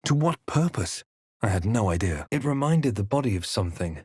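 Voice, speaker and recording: A man playing a composed, wry adventurer hero in a video game, telling events in a measured, literary way. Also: lowish voice, big pitch swings, a little mellow, husky, no room sound, studio quality. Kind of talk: scripted video game narration and battle lines.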